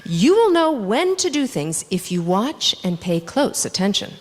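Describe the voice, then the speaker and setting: A woman's voice: mid-range voice, very animated, unhurried. A woman voicing a playful, fun-loving monkey in a children's story, emphatic and spirited, in an echoey hall. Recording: echoey hall with long reverb.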